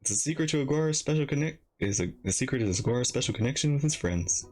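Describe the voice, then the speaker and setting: A man giving casual live game commentary as a streamer, halting and rambling as he thinks aloud. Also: medium pitch, expressive, a little mellow. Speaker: reaction streamer.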